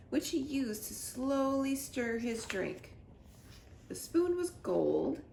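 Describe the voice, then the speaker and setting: A woman reading a children's story aloud expressively, as a library storyteller. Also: mid-range voice. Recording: roomy echo with clear background noise.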